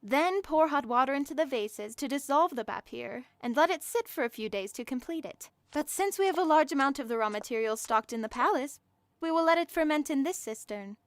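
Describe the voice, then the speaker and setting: A woman's voice: high-pitched, expressive, brisk. A woman playing a courteous palace attendant in a comic dub, giving patient, orderly instruction. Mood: purposeful, instructive.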